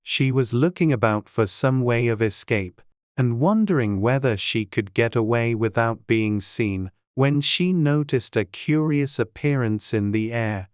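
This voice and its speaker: Audiobook narrator: mid-pitched, crisp and smooth, scripted storybook reading in a dry, close recording. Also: unhurried, no room sound.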